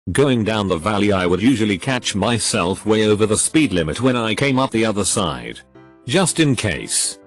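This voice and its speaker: Low, lively male narrator voice. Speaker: narrator reading forum posts. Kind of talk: scripted narration of reader stories. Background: faint background music.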